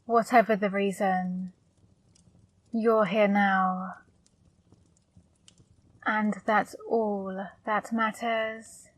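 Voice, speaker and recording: A woman playing a flirty, teasing female demon in an intimate ASMR roleplay, speaking softly and breathily, coaxing and gently scolding up close. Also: mid-range voice, little pitch movement, extremely slow, pauses often, no echo. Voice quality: soft, breathy, partly whispered. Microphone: close microphone, dry.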